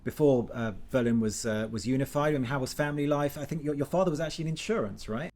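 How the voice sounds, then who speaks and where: A male interviewer who fires off his questions at a brisk clip. His pitch stays in the middle range, and his delivery is casual and chatty, with the odd false start. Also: darkish tone. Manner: quick, conversational questioning with hesitations. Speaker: interview host. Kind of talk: video interview.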